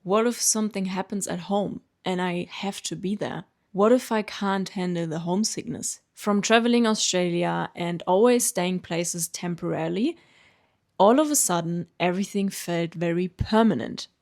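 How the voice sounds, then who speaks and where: A German woman in her late twenties, a native German speaker with a German accent, calmly telling her own story in an earnest, reflective vlog. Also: fairly low pitch, moderate pace. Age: late 20s. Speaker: vlogger. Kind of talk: reflective personal narration.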